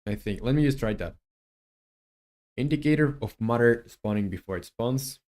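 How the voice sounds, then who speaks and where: Man, mid-pitched voice, indie developer streaming his coding, thinking aloud, casual. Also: lively intonation, normal speed, darkish tone. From Chile. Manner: muses aloud while coding, chats with viewers.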